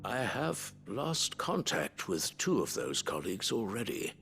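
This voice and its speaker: An actor playing a formal, deferential chief steward of a noble estate in a fantasy game. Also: male voice, fairly low pitch, sing-song, vocal fry, a bit bright, slight background noise, full character voice. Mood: grave. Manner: formal, courtly and deliberate. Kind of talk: scripted fantasy game dialogue.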